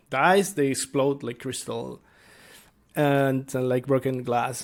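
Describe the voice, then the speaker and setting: Slow, halting man with a creaky voice. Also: mid-range voice, a little mellow. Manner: halting, searching for words. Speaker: tabletop game master and video host. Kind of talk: casual unscripted explainer vlog.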